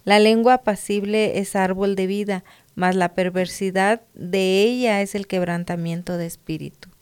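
A woman's voice: medium pitch, fairly even intonation, normal speed, darkish tone, clear, resonant tone. Affirming woman co-host reading scripture. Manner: affirming, brief backchannels. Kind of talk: supportive chat and scripture reading.

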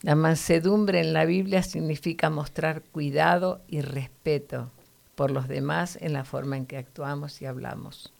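Low, gravelly-voiced woman teaching the Bible. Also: steady tone, measured pace, a little mellow. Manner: earnest, teacherly, frequent "¿no?" tags.

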